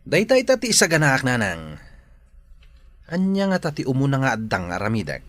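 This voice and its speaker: A man's voice: medium pitch, huge pitch swings, fairly quick, no room sound. A man with an Ilocano accent playing a brash, pushy village troublemaker in a radio drama, with a taunting manner. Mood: scheming.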